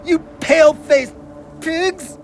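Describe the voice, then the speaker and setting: Touchy, high-voiced troubled drinker. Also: male voice, steady tone, slow, gravelly, soft background music, heavily acted. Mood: drunken rage. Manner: blunt, slangy, swearing. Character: troubled, touchy, hot-tempered man with a drinking problem. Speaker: audio play actor. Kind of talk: gritty contemporary audio drama dialogue.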